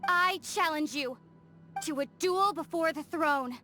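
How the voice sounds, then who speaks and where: A woman's voice: sits high, unhurried, bright, forceful tone, soft background music. A woman voicing a bold, plucky young adventuring companion in a video game, with a spirited delivery. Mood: defiant. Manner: spirited, exclamatory.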